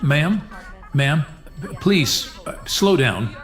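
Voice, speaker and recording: A man's voice: on the high side, big pitch swings, extremely slow, echoey room, soft background music, heavily acted. A man playing a flustered, polite police dispatcher who sounds bewildered in an audio drama. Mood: anxious, placating.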